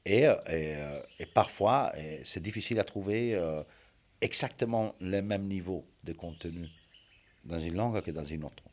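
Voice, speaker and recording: A man's voice: sits low, normal speed. A man who is not a native French speaker, answering interview questions hesitantly, with many fillers and restarts. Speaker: guest, communication expert.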